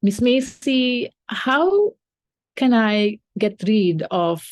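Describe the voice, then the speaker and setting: A woman's voice: a little high, big pitch swings, very slow, no echo. A woman teacher and podcast co-host who is not a native English speaker, chatting casually with many fillers and restarts.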